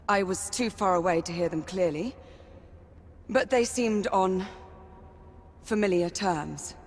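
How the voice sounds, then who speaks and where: A woman's voice: lowish voice, a little hiss or hum, lightly acted. A woman acts as a sober female knight bearing witness in a period video game. She is formal and careful, holding back emotion. Her voice carries long hall reverb over faint ambience. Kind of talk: period drama game acting.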